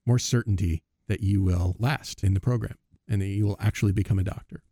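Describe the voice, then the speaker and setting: Low-voiced middle-aged American man hosting. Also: steady tone, brisk, dark tone, breathy edge, no room sound, studio quality. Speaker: podcast host. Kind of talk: conversational podcast talk.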